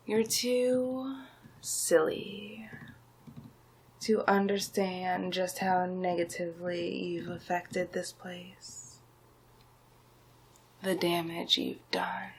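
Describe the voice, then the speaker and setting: A woman voicing a scheming, smug male sorcerer villain in an ASMR roleplay, breathy and often whispering, with a condescending, commanding manner. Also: fairly low pitch, fairly even intonation, a little hiss or hum. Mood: scornful. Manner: slow, deliberate, commanding, condescending.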